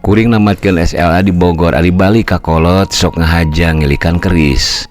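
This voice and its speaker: An older male storyteller with an extremely deep, low voice, narrating a folktale at an easy, unhurried pace. Faint music plays softly underneath his calm, formal narration.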